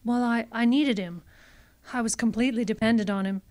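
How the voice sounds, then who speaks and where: Woman acting a femme fatale client, highish voice, anxious and pleading, hesitant, radio noir melodrama. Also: brisk, a little mellow, close to an everyday voice. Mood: evasive. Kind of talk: old-time radio detective drama acting.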